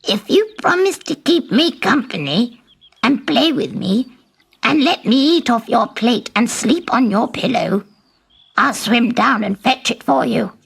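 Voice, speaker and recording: A woman voicing a lonely, persistent bullfrog in a children's story, with a croaky, plaintive delivery. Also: on the high side, expressive, unhurried, exaggerated voice. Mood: earnest, bargaining. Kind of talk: storybook character voice.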